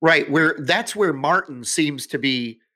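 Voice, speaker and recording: A man's voice: a little high, lively intonation, measured pace, bright, forceful tone. A man hosting a casual podcast chat, thinking aloud as he searches for words. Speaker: podcast host.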